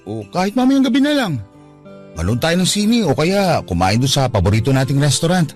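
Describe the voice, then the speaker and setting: A man's voice: a little high, big pitch swings, brisk, soft background music. A man playing a young boyfriend in a radio drama, earnest and pleading with his partner. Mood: gentle.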